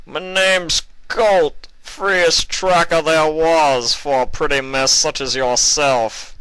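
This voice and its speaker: A man's voice: fairly high pitch, steady tone, slow, a bit bright, clean tone, no echo, voice pushed up, rough recording. A man voicing a drunken, boastful stallion cowboy in a slurred, roaring drawl for a story reading. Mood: boastful, leering. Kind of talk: storybook character voice.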